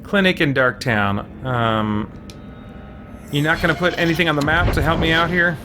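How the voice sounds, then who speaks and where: A man giving casual live gameplay commentary, muttering and thinking out loud as he plays. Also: on the high side, normal speed, background noise. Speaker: let's-play streamer.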